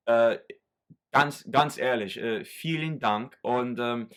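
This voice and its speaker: A man's voice: medium pitch, slow pace, a bit bright. An American man explaining song lyrics in a loose, rambling, casual way, often restarting his sentences. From United States. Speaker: YouTuber explaining German rap lyrics.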